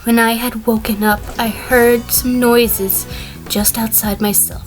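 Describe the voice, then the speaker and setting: A woman's voice: fairly high pitch, little pitch movement, a little slow, faint music behind, natural voice, poor audio. A woman playing a defiant, evasive defendant testifying in an audio drama, prickly with hesitant stammers. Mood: recounting, uneasy. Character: defiant, evasive female defendant on the witness stand. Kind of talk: audio drama courtroom acting.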